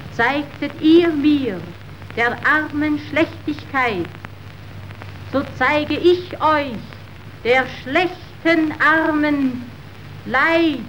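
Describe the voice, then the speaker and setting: Righteous young champion of the poor, high, deliberate, declamatory radio verse, muffled noisy recording. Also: female voice, a bit bright, heavily acted. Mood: impassioned. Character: compassionate, righteous young woman pleading for the poor.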